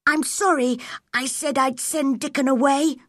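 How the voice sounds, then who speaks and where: A woman playing a sickly young boy in a storybook, by turns pleading, imperious and excitable, with a breathy delivery. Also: high-pitched, measured pace, dry recording, studio quality, voice pushed up. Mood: sulky, sorry. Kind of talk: storybook character reading.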